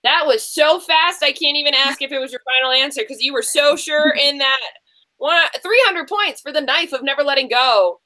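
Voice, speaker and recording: High, bright-voiced woman hosting a quiz. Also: moderate pace. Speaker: quiz show host. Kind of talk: hosting an online book quiz, casual chat.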